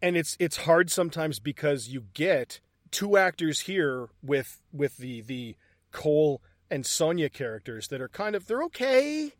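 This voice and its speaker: A talkative man in a casual film review chat who walks through scenes and swears casually. His voice sits a bit higher than most men's and is recorded close and dry. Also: big pitch swings, measured pace, no echo. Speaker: movie review podcast co-host.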